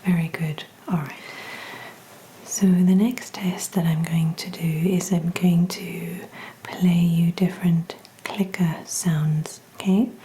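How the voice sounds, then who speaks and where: A woman whispers slowly and breathily in a gentle ASMR medical role-play. Her voice is mellow and fairly low, with a reassuring, encouraging manner. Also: slight background noise. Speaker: ASMR performer role-playing a hearing examiner.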